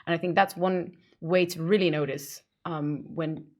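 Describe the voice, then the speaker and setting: A woman's voice: on the low side, fairly even intonation, moderate pace, a little mellow. A woman with a Danish accent, co-hosting a podcast in casual chat, explaining thoughtfully with hesitant starts. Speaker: podcast co-host.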